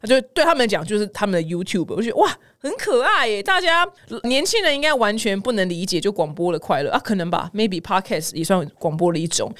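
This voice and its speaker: Fast-talking middle-aged Taiwanese Mandarin woman. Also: medium pitch. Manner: chatty, exclamatory, colloquial. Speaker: podcast host. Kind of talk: casual news commentary with personal anecdotes.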